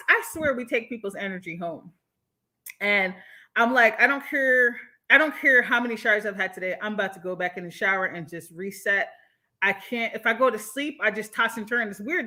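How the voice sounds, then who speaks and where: A woman with an American accent hosting a casual livestream, reading and answering viewer comments in an animated, candid, slangy way. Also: mid-range voice. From born in Jamaica, moved from the United States. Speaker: livestream host.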